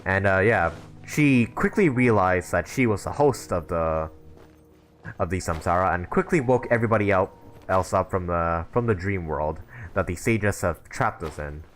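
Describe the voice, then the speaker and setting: Low-voiced, animated male game streamer. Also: moderate pace. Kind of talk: live gameplay commentary, casual chat. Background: game music.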